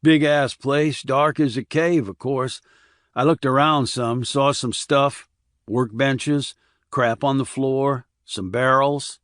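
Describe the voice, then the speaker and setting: A man voicing a weary, rough-edged small-town man in an audiobook, talking in clipped, colloquial, blunt phrases. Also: mid-range voice, fairly even intonation, slow, a bit bright, no echo, clean studio sound. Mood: uneasy, dismissive.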